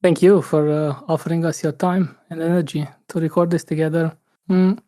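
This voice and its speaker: Guy hosting a podcast, warm low-key voice, lots of uhs and pauses. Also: little pitch movement. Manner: thoughtful, hesitant, many fillers. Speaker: podcast host. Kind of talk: casual podcast conversation.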